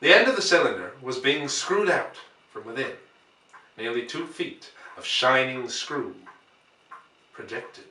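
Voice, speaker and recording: A man reading a classic novel aloud as dramatic narration, with measured, theatrical phrasing. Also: mid-range voice, expressive, sharp tone. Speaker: audiobook reader. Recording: roomy echo, distant microphone.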